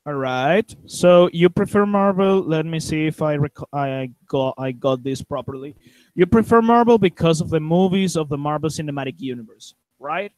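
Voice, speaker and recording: A man moderating an online group chat, asking questions in careful, slightly halting English whose first language is Spanish. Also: on the high side, darkish tone, rough recording. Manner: slow, careful non-native phrasing. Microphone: video call.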